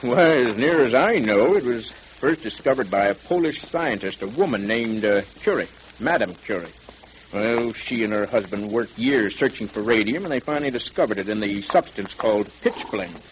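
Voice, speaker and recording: An actor in a vintage radio serial playing a genial police captain, explaining things in a folksy, avuncular way. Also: male voice, lowish voice, lively intonation, close to an everyday voice. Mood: fondly explaining. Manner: avuncular, explanatory, hesitant pauses. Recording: crackly old radio recording.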